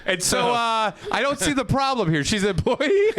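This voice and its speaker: A high-voiced man with a bright, forceful edge co-hosts a comedy podcast. His delivery swings around in pitch as he banters crudely, giggling and swearing. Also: lively intonation, measured pace. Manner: profane, giggly.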